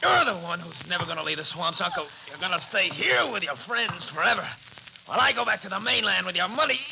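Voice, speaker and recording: An actor playing a greedy, cold-blooded nephew with a sardonic, smooth-talking manner in a 1940s radio drama. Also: male voice, sits high, very animated, fast talker, heavily acted. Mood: menacing. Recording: noisy old 1940s radio recording.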